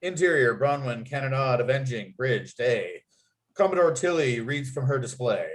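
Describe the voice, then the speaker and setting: A man's voice: medium pitch, animated, normal speed. A man reading out screenplay scene headings and action as the narrator of a script table read. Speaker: narrator and writer.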